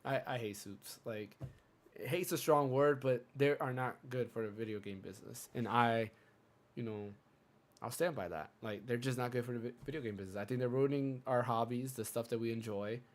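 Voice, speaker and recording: A man hosting a casual gaming podcast, chatty and rambling, a bit hoarse from a cold. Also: mid-range voice, normal speed. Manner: chatty, rambling, self-interrupting. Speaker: podcast host.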